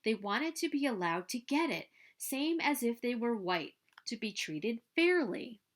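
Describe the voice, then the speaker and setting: A fair-minded, reassuring father from a children's book, read by a woman. The voice is rather high and varied in tune, explaining things gently and patiently. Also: sing-song, measured pace. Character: calm, fair-minded father of a young girl.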